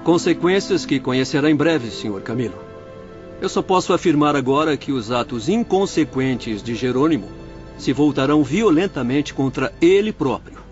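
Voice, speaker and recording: A man's voice: mid-range voice, animated, moderate pace, close to an everyday voice. A man acting a patient young spirit doctor, courteous and didactic, in an audiobook drama. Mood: patient, instructive. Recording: muffled, faint music behind.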